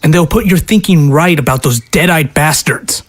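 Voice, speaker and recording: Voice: medium pitch, normal speed, close to an everyday voice. An actor as a blunt militia recruiter. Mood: persuasive. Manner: blunt, persuasive, matter-of-fact. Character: hardened militia recruiter, blunt and cold-hearted. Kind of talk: amateur audio drama acting.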